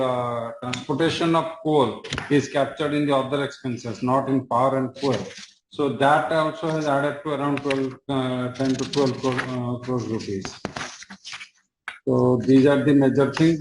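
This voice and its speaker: A man with an Indian accent, a finance executive, explaining results on a conference call in a hesitant, fragmented way. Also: medium pitch. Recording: echoey room with faint noise.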